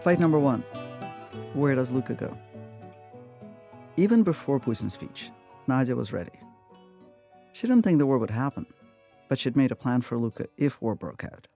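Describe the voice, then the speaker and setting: A narrator from Russia telling a story in a measured, matter-of-fact way. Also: male voice, medium pitch, sing-song, dark tone, soft background music. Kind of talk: scripted radio narration.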